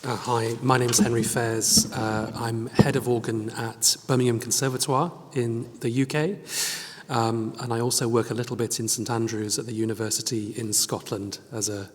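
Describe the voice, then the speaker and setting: A man's voice: medium pitch, flat delivery, normal speed. A man with a British accent introducing himself as a panelist. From United Kingdom. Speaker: head of organ, panelist. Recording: echoey hall, long reverb.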